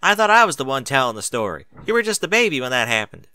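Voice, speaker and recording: A man's voice: mid-range voice, sing-song, fast, bright, forceful tone, smooth, dry recording. A man voicing a folksy, teasing farm mare, a bossy big sister, plain-spoken, in a storybook reading.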